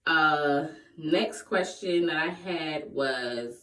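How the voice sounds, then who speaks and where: A woman in her late twenties talks casually to her viewers, playful and laughing easily. Her voice sits a little low. She is recorded from a distance in an echoey room. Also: very slow, very noisy. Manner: playful, laughs often, breaks into sung bits. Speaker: vlogger. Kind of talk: casual Q&A vlog. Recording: echoey room, voice far from the mic.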